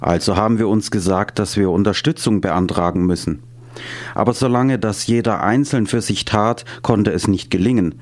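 A man's voice: on the low side, moderate pace. A man reading scripted narration and voice-over for a radio documentary.

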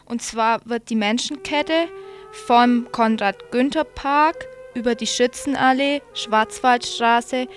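A woman radio presenter reading out event announcements for listeners. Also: a little high.

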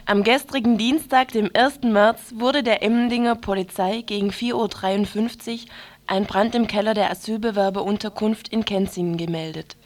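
A woman's voice: medium pitch, fairly even intonation, moderate pace. A woman reading a scripted news bulletin on the radio. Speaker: radio newsreader.

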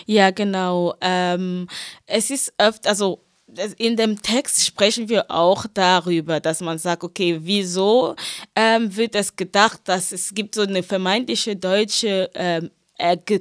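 A woman's voice: medium pitch, a bit bright, dry recording, clean studio sound. A woman giving spontaneous, explanatory interview answers, often rephrasing as she goes. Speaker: interviewee, radio editorial team member.

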